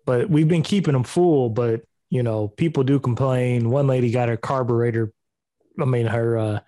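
A man's voice: medium pitch, moderate pace, mellow, dry recording. A man chatting casually on a podcast about rentals, laid-back and loose.

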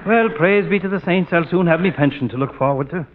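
An actor playing a heavyset, grumbling middle-aged cop in a 1940s radio sitcom. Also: male voice, on the high side, fairly quick, lightly acted. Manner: grumbling, stage-Irish phrasing. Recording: muffled old radio recording with faint hiss.

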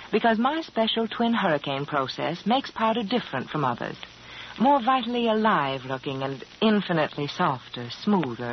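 A woman delivering a scripted 1940s radio advertisement in a polished, persuasive, emphatic manner. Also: on the low side. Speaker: beauty advertiser. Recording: muffled, hissy 1940s radio broadcast.

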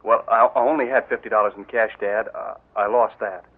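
A defensive young son stammers through his replies in a middle-pitched voice. The recording is thin and narrow like old broadcast radio. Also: steady tone, fairly quick. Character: defiant young man, boss's son. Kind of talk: 1940s radio acting.

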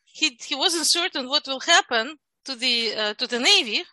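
A woman's voice: sits high, lively intonation, pushed and bright, no room sound. A woman from Ukraine whose first language is Russian, explaining her views in a casual group discussion, with frequent restarts and fillers. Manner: halting, many restarts and fillers. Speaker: guest.

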